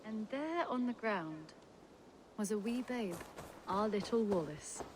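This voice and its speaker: A young huntress in a video game, calm and proud. Her voice sits at a middle pitch, with outdoor game ambience clearly audible behind it. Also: takes it slowly, poor audio. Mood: reflective. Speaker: game character. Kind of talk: video game dramatic dialogue. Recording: game audio with loud ambient noise.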